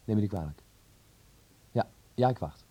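An actor playing a polite, hesitant seventeen-year-old schoolboy in a Dutch radio drama, halting, with a husky voice. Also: male voice, lowish voice, expressive, warm tone. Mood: polite, nervous.

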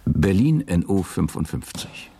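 Extremely deep, gravelly male narrator. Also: sing-song, unhurried, warm tone, slight background noise. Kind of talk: scripted radio drama narration.

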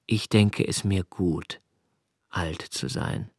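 An actor playing a solitary, brooding young poet of twenty-eight who narrates his own thoughts in a literary radio drama, in a slow, measured, contemplative way. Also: deep voice, animated, gravelly, background noise, exaggerated voice. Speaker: first-person narrator of a radio play.

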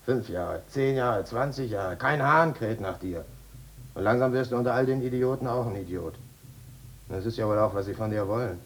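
Actor as a blunt, mocking jailbird. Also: male voice, medium pitch, lightly acted. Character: cynical, streetwise male prison cellmate lecturing a fool. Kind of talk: 1950s German radio drama acting.

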